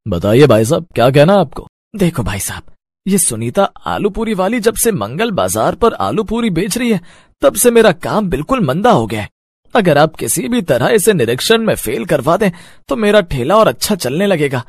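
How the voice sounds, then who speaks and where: A man's voice: fairly high pitch, very animated, normal speed, breathy edge, dry recording, clean studio sound, heavily acted. Man playing a sly, jealous vendor villain. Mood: scheming. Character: scheming, jealous male street-food vendor, petty villain.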